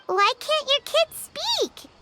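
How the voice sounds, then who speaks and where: A woman voicing a tiny, cheeky, childlike fairy companion in a video game, squeaky and excitable, talking in exclamations. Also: slow, over loud music, heavily acted. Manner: refers to self in third person, exclamatory. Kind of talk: video game character acting.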